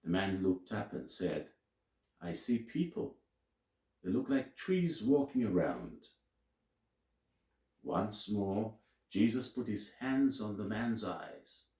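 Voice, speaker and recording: A man reading scripture aloud as a teacher, in a solemn, deliberate and emphatic way with dramatic pauses. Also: fairly low pitch, very animated, darkish tone. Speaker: Bible reader and teacher. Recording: roomy, echoing sound.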